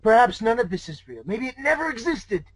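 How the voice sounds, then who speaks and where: A man's voice: very high voice, very animated, brisk, breathy edge, no room sound, voice pushed up, heavily acted, poor audio. A man voicing an eccentric, smug old man with a theatrical, rambling manner in a story read aloud. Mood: smug, musing. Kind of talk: fan fiction read aloud in character.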